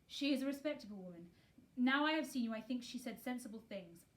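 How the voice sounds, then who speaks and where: Woman playing a weary, emphatic gentleman guardian. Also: a little high, expressive, fast talker, voice pushed up, lightly acted. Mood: startled, kindly.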